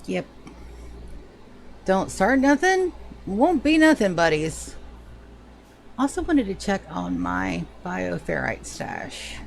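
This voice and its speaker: A woman's voice: mid-range voice, gravelly, slight background noise. A woman with a Georgia accent and a slight lisp, chatting casually to viewers while streaming a game. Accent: Southern US, Georgia. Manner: chatty, greets viewers by name. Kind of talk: casual live gameplay commentary.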